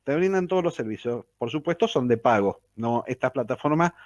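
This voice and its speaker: A man's voice: mid-range voice, animated, fairly quick, darkish tone, no echo, muffled recording. A man with a Rioplatense Spanish accent giving a lecture-style talk on mediation, repeating key phrases and checking in with "¿sí?".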